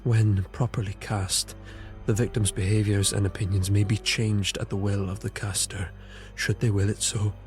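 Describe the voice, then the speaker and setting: Man playing low-voiced brooding young knight Mordred. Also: little pitch movement, normal speed, few pauses, darkish tone, close to an everyday voice. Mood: brooding, intent. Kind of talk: audio drama acting with brooding read-aloud monologue. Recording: music under the voice.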